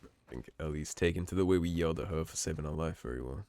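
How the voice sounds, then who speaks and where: A man giving relaxed, musing commentary over a game playthrough, his voice slightly hoarse. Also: extremely low pitch, fast. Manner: relaxed, musing, reads in-game notes aloud. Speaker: game streamer. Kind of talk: let's play commentary.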